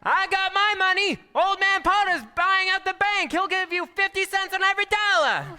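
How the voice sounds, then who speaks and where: A man's voice: very high voice, moderate pace, pushed and bright, heavily acted. An actor playing an agitated, stubborn townsman, blunt and insistent, in old radio drama style. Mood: indignant. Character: stubborn, agitated small-town man demanding his savings. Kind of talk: 1940s radio acting on stage. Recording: echoey hall, live stage taping.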